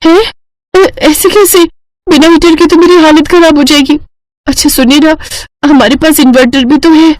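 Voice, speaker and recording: A woman voicing an excitable, whiny, naive young bride in a cartoon story. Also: high voice, normal speed, dry recording, pitched above the performer's own voice, lightly acted, poor audio. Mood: cold, complaining. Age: young adult.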